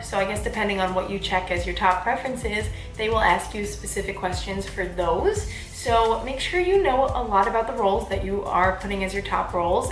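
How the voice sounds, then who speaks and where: Bubbly young woman chatting in a roomy space. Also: mid-range voice. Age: 20s. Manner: bubbly, animated, informal. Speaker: vlogger giving application advice. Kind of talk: casual chatty advice vlog. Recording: roomy echo, faint background music.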